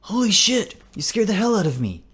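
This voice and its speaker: Casting: male narrator as jumpy but protective ram guy for a story, casual dude speech, pitched up. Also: fast, husky. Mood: startled urgency. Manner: slangy, nervous, hushed urgency.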